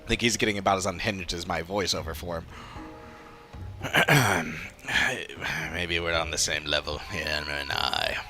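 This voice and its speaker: Bright-voiced man streaming a game. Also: low voice, fairly even intonation, measured pace, faint music behind. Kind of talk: casual live-stream commentary.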